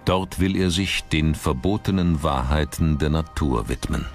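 A man reading scripted documentary narration in a measured, dramatic manner. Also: very deep voice. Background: background music.

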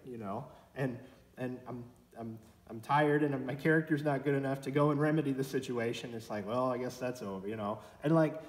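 Chatty young pastor in an echoey church. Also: male voice, fairly high pitch, a little mellow. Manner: conversational, self-deprecating, gestures broadly. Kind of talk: sermon. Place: church sanctuary at a pulpit. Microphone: far camera. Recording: echoey church with long reverb.

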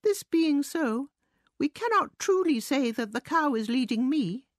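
A woman's voice: high voice, animated, measured pace, no echo, studio quality, pitched above the performer's own voice. A woman voicing a small, self-important male mouse with a pompous, declamatory manner, as in a storybook reading. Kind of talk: storybook character voice.

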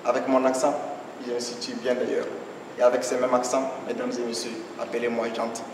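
A man's voice: medium pitch, little pitch movement, a little fast. Young man reciting slam in an echoey hall. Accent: West African French. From Niger. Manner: rhythmic, declamatory, gestures while reciting. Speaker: slam poet. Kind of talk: slam poetry performance. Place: large hall with tiled floor, audience seated. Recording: echoey hall from far off, noisy.

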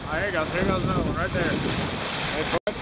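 Young man, playful, excited, sailboat deck outdoors, handheld camera, loud wind and waves. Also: high voice, very fast. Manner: excitable, playful, talks to the dolphins. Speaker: sailor filming dolphins. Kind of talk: excited casual filming commentary. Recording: loud wind and water noise on camera mic. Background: wind, waves and boat noise.

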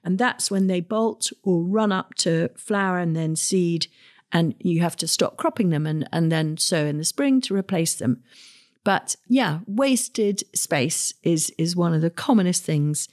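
A woman's voice: lowish voice, animated, a little slow, a little mellow. A middle-aged woman hosting a gardening podcast, talking in a chatty, practical way with asides and restarts. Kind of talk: casual solo podcast talk.

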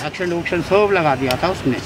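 A man in his fifties with a slightly high voice talks casually from a roadside food stall. He explains things patiently by repeating them, with bits of halting, broken English mixed in. Heavy traffic and street noise surround him. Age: 50s. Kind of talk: casual chat with customers. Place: outdoors at a roadside food cart.

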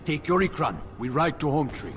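A man's voice: a little high, animated, a little fast, noisy background, natural voice. A man playing a terse, watchful alien warrior in a video game, with solemn, clipped phrasing. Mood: urgent. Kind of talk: dramatic video game acting. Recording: loud music behind.

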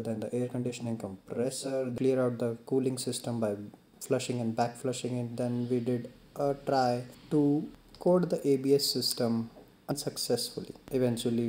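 Flat-voiced man recapping a car project. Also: medium pitch, a little hiss or hum. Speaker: video narrator. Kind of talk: recap voice-over.

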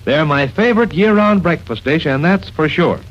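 High-voiced man, vintage radio announcer. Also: lively intonation, moderate pace. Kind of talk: 1940s radio announcing, ad pitch and scripted narration. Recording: dull lo-fi 1940s radio recording.

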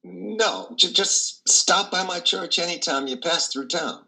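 Man playing a very bright-voiced older priest. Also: sits high, measured pace, gravelly, heavily acted, low-quality recording. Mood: warmly inviting. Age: older, 60s or over. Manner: gentle, hesitant, encouraging. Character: kindly, reclusive older male priest. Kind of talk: play reading over video call.

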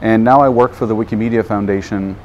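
A man in his thirties, a native Hebrew speaker, giving an informal talk to camera outdoors in a measured, thoughtful way. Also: lowish voice, fairly even intonation, muffled recording, slight background noise. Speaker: non-profit program manager.

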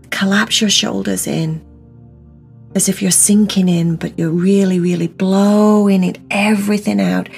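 Female meditation guide: lowish, gravelly-edged voice, very slow delivery, soothing and encouraging instruction, music underneath. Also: darkish tone.

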